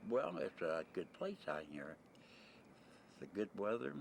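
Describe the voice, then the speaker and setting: Low-voiced older American man, rambling. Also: very animated, slow pace, vocal fry. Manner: rambling, blunt, plain-spoken, mildly profane. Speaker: interviewee, retired merchant seaman. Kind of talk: casual spoken interview. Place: on location at a desert camp, ambient noise. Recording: noisy 1990 amateur videotape sound.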